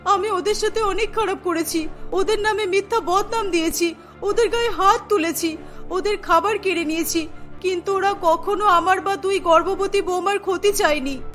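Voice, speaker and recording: A woman's voice: very high voice, normal speed, fairly bright tone, soft background music. A woman playing a stern, emphatic older mother-in-law in a cartoon. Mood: remorseful. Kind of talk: cartoon dialogue.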